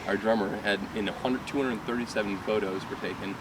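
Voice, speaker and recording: A young man from Michigan with a lowish voice tells loose, rambling stories, laughing and restarting as he goes. He stands a little off from the mic, and loud street traffic roars around him outdoors. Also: steady tone, fast talker, far from the mic. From Michigan, USA. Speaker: rock band singer. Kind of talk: casual interview chat.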